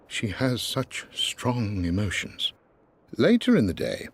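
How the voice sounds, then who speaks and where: An actor playing a patient elderly British family butler in a video game, speaking formally and deferentially with a gentle wryness. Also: male voice, fairly low pitch, full character voice. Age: older. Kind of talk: video game voice acting, letter narration.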